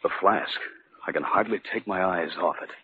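A man playing a quiet, entranced character in a 1940s radio drama, musing and absorbed. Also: deep voice, normal speed. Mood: fascinated. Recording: very noisy, lo-fi old radio.

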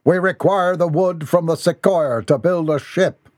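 A man's voice: fairly high pitch, a little slow, a little mellow, no echo, pitched above the performer's own voice, lightly acted. A man voicing a solemn, ominous clan leader in a storybook, with a portentous, declamatory delivery. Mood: commanding. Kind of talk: storybook character voice.

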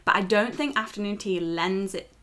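A woman's voice: mid-range voice, moderate pace, a bit bright. A young woman in her twenties co-hosts a podcast, chatting casually and giggling often. She has only just woken up and pokes fun at herself as she talks. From Liverpool, England. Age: 20s. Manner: chatty, giggly, self-mocking. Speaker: podcast co-host. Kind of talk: casual chat.

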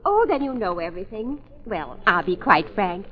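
A woman's voice: medium pitch, very animated, moderate pace, close to an everyday voice. A woman playing a flustered, genteel politician's wife in a 1940s radio drama, hesitant and melodramatic. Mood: pleading. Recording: dull lo-fi 1940s radio recording.

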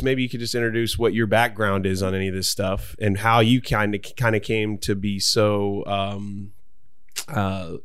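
A man's voice: fairly low pitch, smooth, no room sound, studio quality. A man hosting a podcast, chatting casually and rambling as he searches for words. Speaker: podcast host.

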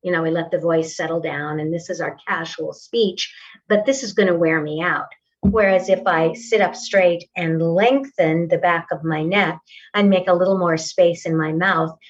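An older woman in her sixties talks in a measured, articulate way about her teaching. The recording sounds dull and lo-fi. She is a little away from the mic. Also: fairly low pitch, distant mic. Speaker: voice and acting teacher. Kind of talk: casual interview conversation. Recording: dull lo-fi audio, slightly off-mic.

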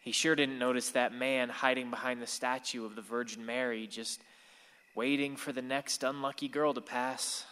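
Male actor as a bright-voiced, wistful, wounded son. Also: mid-range voice, level tone, normal speed, clear, resonant tone, lightly acted. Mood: brooding. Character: wistful, bitter son of a grieving mother. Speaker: actor in a radio play. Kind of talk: dramatic monologue and radio drama dialogue. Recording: long hall reverb.

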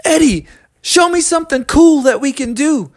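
Woman voicing an excitable, very high-pitched little frog. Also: moderate pace. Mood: eager, bold. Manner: whooping, exclaiming, eager. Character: excitable, adventurous young male cartoon frog.

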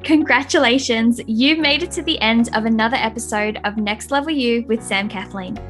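A woman's voice: a little high, normal speed, fairly bright tone. A woman podcast host reading a polished, upbeat scripted intro and outro. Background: faint music.

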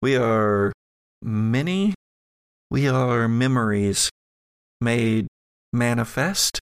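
A man's voice: medium pitch, drawn-out words, smooth, dry recording, clean studio sound. A man voicing an ancient female spirit made of ectoplasm in an audio drama game, musing evasively and searching for words. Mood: musing, uncertain.

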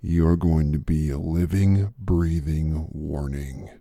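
Man voicing a menacing enforcer, very low voice, mellow tone, slow threats, dry sound. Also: steady tone, vocal fry, dry recording, heavily acted. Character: menacing male enforcer, cold and calm.

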